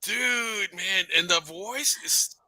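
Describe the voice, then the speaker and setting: A man hosting a radio talk show, excitable, dropping in Spanish words, with a New Mexico accent. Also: high-pitched, slow pace, no room sound. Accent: New Mexico Spanglish. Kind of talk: casual chat.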